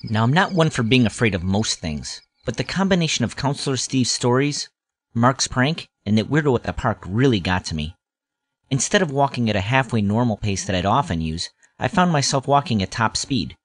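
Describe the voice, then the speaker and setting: A man's voice: medium pitch, expressive, brisk, natural voice. A man playing a grown-up wryly recalling his boyhood in scripted first-person audio drama narration. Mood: wry, nostalgic. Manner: wry, nostalgic storytelling.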